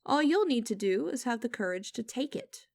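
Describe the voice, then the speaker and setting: A woman playing a cold, condescending female boss in an ASMR audio roleplay, speaking to the listener in a deliberate, commanding, mocking way. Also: on the high side, big pitch swings, vocal fry, a little mellow, no room sound, full character voice. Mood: cold, controlled. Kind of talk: ASMR roleplay acting, direct address to listener.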